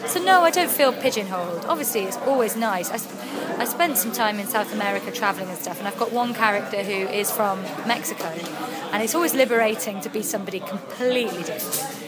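A woman's voice: medium pitch, fast, over a noisy crowd. A young Welsh woman, an actress and writer, chatting freely in an interview with lots of ums. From Wales. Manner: chatty, animated storytelling with frequent ums. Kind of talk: casual interview chat.

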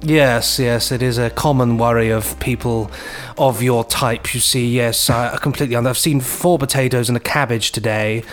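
Music plays softly behind a British man of medium pitch. He chats as a podcast co-host in a jokey, whimsical way, rambling along in loose, casual banter. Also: steady tone, moderate pace, hardly any pauses. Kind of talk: casual comic chat.

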